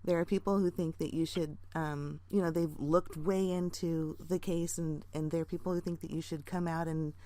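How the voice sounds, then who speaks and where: A woman with a slightly low voice chats casually as a caller on the phone. She speaks haltingly, in an amused and giggly manner. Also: fairly even intonation, dark tone, dry recording.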